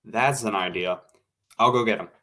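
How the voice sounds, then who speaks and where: A man's voice: lowish voice, normal speed, pushed and bright. A man playing a bossy, impatient radio show producer in a 1940s-style radio play. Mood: instructing. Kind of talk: old-time 1940s radio play acting.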